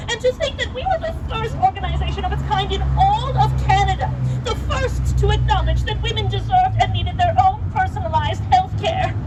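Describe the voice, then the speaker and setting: A woman playing an elderly, wry and proud lady doctor in a theatrical puppet-show monologue, chatting to the audience with rhetorical asides. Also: very high voice. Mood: indignant, wry. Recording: distant mic, loud outdoor street noise.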